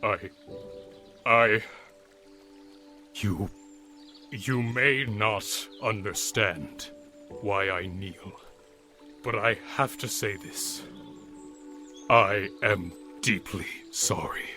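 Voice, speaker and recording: A man playing a burly older villager and devoted father in an audio drama, speaking in a halting, emotional, remorseful way. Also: fairly low pitch, pushed and bright, faint music behind, full character voice. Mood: tearful remorse.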